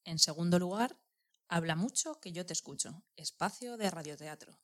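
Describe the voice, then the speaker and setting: A woman co-presenter reads from a script. Her voice sits a little low. The sound is close and dry, without room echo. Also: lively intonation, moderate pace. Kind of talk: scripted reading.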